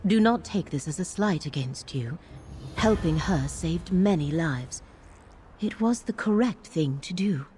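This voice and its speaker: Serious scholarly woman, fantasy game character, precise, composed, fairly low voice, faint background noise. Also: lively intonation, a little slow, warm tone. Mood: composed, grave. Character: grave, formal female scholar and quest giver.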